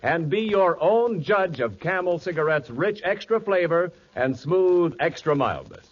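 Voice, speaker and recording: A man announces in the style of 1940s radio advertising. His voice is slightly high, polished and emphatic, with big swings in pitch. The recording is muffled, like an old broadcast. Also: sing-song, unhurried. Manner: polished, emphatic salesman delivery.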